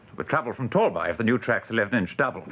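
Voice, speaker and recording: A man's voice: on the low side, lively intonation, very fast, fairly bright tone, slightly rough. An actor playing a jaded advertising man in a British radio drama, with an English accent and a dry, sardonic, world-weary manner. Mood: dry, cynical. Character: jaded, cynical male advertising office old hand.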